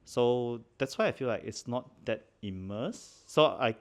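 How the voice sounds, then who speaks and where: A man in his thirties with a Malaysian accent, co-hosting a casual chat podcast from a living-room sofa on a handheld mic, relaxed and quick to laugh. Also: medium pitch. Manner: relaxed, chatty, laughs often, many fillers. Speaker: podcast co-host.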